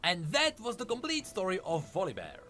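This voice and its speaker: Animated male lore narrator, higher-pitched voice. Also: fairly quick, slight background noise. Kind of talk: scripted lore storytelling narration. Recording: music under the narration. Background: background music.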